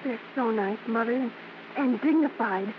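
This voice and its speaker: Woman playing an anxious schoolgirl, high-voiced, vintage radio. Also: normal speed, close to an everyday voice. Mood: distressed, pleading. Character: anxious, ashamed teenage schoolgirl daughter of stage performers. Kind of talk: 1940s-50s radio drama acting. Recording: dull, lightly hissy vintage radio recording.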